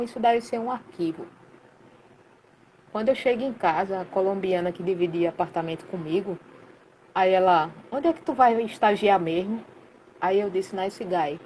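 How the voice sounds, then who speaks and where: A woman in her late thirties from Recife with a Pernambuco accent, telling a story in a lively, colloquial way full of slang during a casual live chat. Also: medium pitch, slight background noise, low-quality recording. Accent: Pernambuco Portuguese. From Recife, Brazil. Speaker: guest, sound professional.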